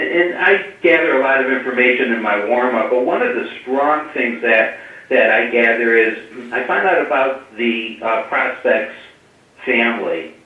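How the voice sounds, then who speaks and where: A man's voice: mid-range voice, far from the mic. Man on a tinny, echoing phone line. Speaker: sales agent contributing to a conference call. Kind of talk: explanatory, sharing practical tips.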